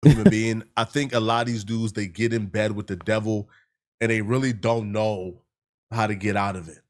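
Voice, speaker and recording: A man's voice: fairly low pitch, fairly even intonation, normal speed. An American man hosting casual reaction commentary, slangy and speaking straight to his viewers. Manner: slangy, addresses viewers directly. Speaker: reaction video host.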